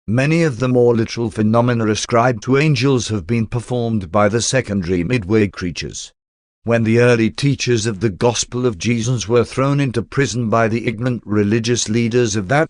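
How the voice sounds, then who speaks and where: A man narrating a scripted text in a measured, formal way, like an audiobook reader. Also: fairly low pitch, no room sound, clean studio sound.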